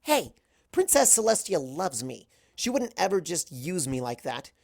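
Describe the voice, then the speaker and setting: A studious young female pony from a storybook, acted out by the narrator. She is earnest and a little defensive, speaking insistently at a raised pitch. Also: sing-song, measured pace, vocal fry, darkish tone, exaggerated voice.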